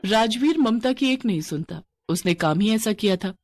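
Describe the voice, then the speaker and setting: A woman's voice: fairly high pitch, animated, moderate pace, darkish tone. A woman narrating a scripted story as its storyteller.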